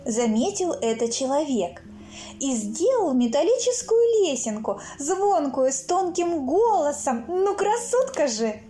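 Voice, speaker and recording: A woman reading her own children's stories aloud in an expressive, theatrical way, acting out sounds and characters. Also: sits high, unhurried. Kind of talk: expressive children's storybook narration. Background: music.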